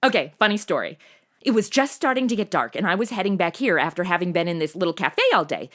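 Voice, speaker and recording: A woman's voice: on the low side, animated, fast talker, a bit bright. A woman playing a chatty, cheeky, jittery modern young woman in a comedy audio drama, slangy and over-caffeinated.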